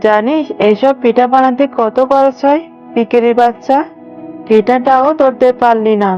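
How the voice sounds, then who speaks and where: A woman's voice: on the high side, fairly even intonation, a little slow, lightly acted. A woman voicing a scolding, stingy tigress mother in a children's cartoon, grumbling as she talks. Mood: scolding, irritated. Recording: dull lo-fi audio, music behind. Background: background music.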